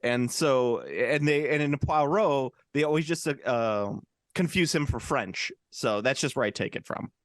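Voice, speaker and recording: A man co-hosting a podcast, talking in a loose, jokey way with a lot of restarts and fillers. His pitch swings widely as he goes. The sound is close and dry, with almost no room. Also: expressive, unhurried, few pauses, no echo. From United States. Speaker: podcast co-host. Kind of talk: casual chat.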